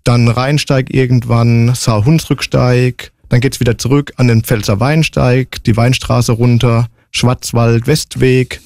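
A man's voice: medium pitch, flat delivery, unhurried, creaky, hardly any pauses, a little mellow, no room sound. A man in his forties answering interview questions casually, in a concise and matter-of-fact way. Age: 40s. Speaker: interview guest, long-distance hiker.

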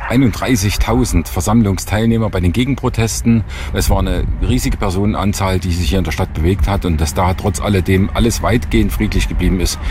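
A man giving a formal, halting official statement as a police spokesman in an interview. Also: sits low. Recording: noisy outdoor urban interview, traffic.

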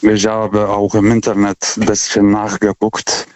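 A forty-year-old man calling a radio phone-in, speaking non-native German with an accent, haltingly, stammering over the starts of words. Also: fairly low pitch, monotone, a little mellow, no echo. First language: not German. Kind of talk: unscripted, reflective call-in talk. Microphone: telephone. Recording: dull, band-limited phone call.

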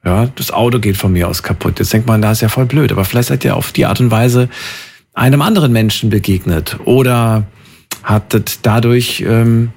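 A man hosting a call-in radio show, chatty and lively, quick to move on to the next caller. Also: mid-range voice, vocal fry, slightly rough. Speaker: radio host.

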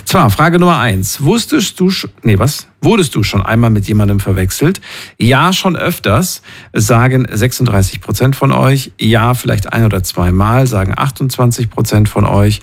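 A man's voice: medium pitch, expressive, moderate pace, gravelly, breathy edge. A man hosting a call-in radio show, chatting informally with listeners and reading out their messages. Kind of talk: casual chat, reading listener messages aloud.

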